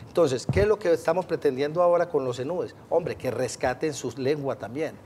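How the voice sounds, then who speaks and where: A man's voice: fairly high pitch, brisk, background noise. A man explaining things in an interview, friendly and speaking straight to the host. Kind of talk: interview answers.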